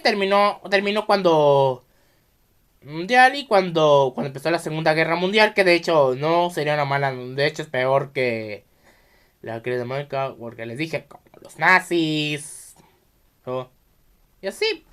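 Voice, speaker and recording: Man, Mexican accent, bright forceful voice, very varied intonation, informal podcast host, halting, repetitive. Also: on the high side, lively intonation, clear, resonant tone. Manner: halting, repetitive, often restarting sentences mid-phrase. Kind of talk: informal solo explainer, addressing viewers.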